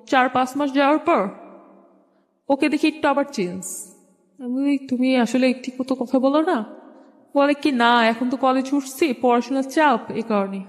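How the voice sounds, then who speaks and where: A woman's voice: fairly high pitch, a little mellow. A young woman with a Bangladeshi accent tells a personal story in an informal, conversational way, often quoting exchanges. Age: young adult. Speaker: guest telling their life story. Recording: reverberant hall-like echo.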